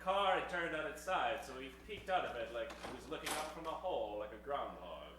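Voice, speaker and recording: A man's voice: mid-range voice, sing-song, fairly quick, far from the mic, noisy background. A man narrating improvised old-time radio comedy in a theatrical storytelling manner. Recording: echoey hall from afar, music behind.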